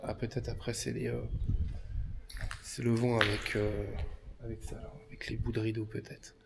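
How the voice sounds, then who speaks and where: A man's voice: mid-range voice, flat delivery, moderate pace, vocal fry. Hesitant man in an echoey derelict hall. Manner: hesitant, many fillers, drops to hushed murmurs. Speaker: paranormal investigator. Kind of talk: exploration vlog, calling out to spirits. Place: large abandoned industrial building, indoors. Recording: echoey hall with faint noise.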